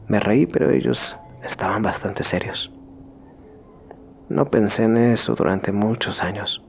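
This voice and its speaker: A man reading out a horror story in slow, hushed scripted narration that sometimes sinks to a whisper. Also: lowish voice, creaky, faint music behind.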